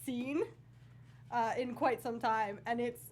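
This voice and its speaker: A woman's voice: fairly high pitch, fairly even intonation, slow pace. A woman speaking as a panelist in a casual discussion about films. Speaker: talk show panelist.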